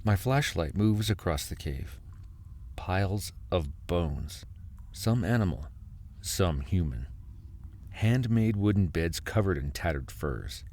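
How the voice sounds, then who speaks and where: An actor playing a desperate husband, narrating a survival horror audio drama with intense, halting suspense. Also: male voice, low voice, lively intonation, darkish tone. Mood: grim suspense. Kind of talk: dramatic first-person horror narration and dialogue. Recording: music under the voice. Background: music.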